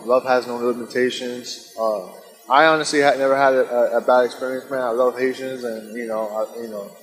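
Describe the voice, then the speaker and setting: A man being interviewed as a stage actor, talking in a loose, informal, chatty way. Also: mid-range voice. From Dominican and Puerto Rican background. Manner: informal, loose, frequent "you know". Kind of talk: casual interview chat. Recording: very echoey hall.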